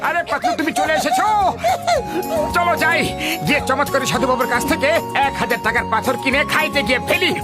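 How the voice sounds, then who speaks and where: A performer voicing an excitable, pleading cartoon simpleton in an exaggerated, theatrical dub style. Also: sits high, fairly quick, close to an everyday voice. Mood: excited. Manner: animated, theatrical. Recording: loud music behind. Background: loud cartoon music.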